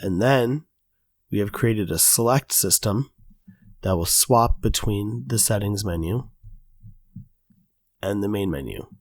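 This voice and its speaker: Man, slightly low voice, raspy from recent illness, casual tutorial host with plenty of ums, dry close mic. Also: expressive, takes it slowly, long pauses, no room sound. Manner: casual, chatty, many fillers. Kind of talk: screen-recorded coding tutorial.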